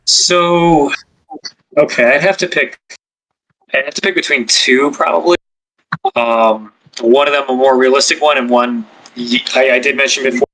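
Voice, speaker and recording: Bright-voiced man, hesitant chat. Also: mid-range voice, fairly even intonation, normal speed, pauses often, rough recording. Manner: hesitant, many fillers and restarts. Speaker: ASMR script writer. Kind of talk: casual podcast chat.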